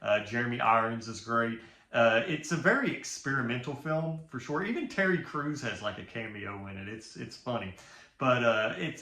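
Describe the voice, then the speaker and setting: A man with a deep Southern US accent rambles through a casual film ranking from his home office. His voice is bright and a little forceful, with a chatty, self-mocking way of talking. The room gives the recording a noticeable echo. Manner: chatty and rambling, joking at his own expense. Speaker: film vlogger and filmmaker. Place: home office with the window open.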